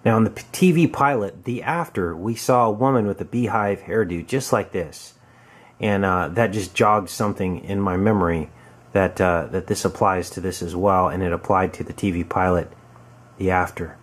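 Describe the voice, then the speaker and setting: A man giving informal, halting conspiracy commentary on a film, explaining as he goes. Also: sits low. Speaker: video commentator. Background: music.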